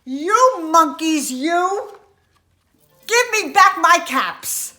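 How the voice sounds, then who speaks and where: A woman voicing an exasperated cap peddler from a children's storybook, calling out loudly and emphatically. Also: very high-pitched, animated, very slow, pitched above the performer's own voice, heavily acted. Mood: angry, demanding. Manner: emphatic, loud, indignant calling out.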